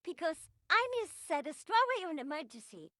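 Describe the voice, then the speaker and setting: A woman giving an amateur mock emergency broadcast announcement, halting and repetitive in delivery. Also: extremely high pitch, sing-song, bright, forceful tone, no echo, studio quality. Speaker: amateur video creator.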